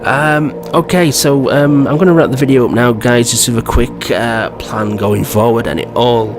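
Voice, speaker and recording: A man with a hoarse, croaky voice from a cold, giving rambling, opinionated commentary in a casual vlog. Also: medium pitch, steady tone, normal speed, noisy background. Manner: rambling, repeats "do you know what I mean". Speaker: gaming video creator.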